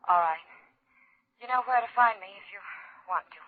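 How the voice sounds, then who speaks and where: An actress playing a soft, plaintive, let-down girlfriend on the telephone in 1950s radio drama style. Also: on the high side, moderate pace. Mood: wistful, disappointed. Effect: telephone filter. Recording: thin phone line on old radio.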